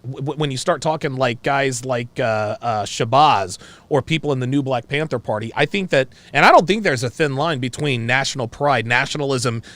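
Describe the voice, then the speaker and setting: A man's voice: mid-range voice, normal speed, a bit bright, dry recording. An American man hosting a live call-in discussion, giving his opinions emphatically and repeating phrases as he goes. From United States. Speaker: talk show host.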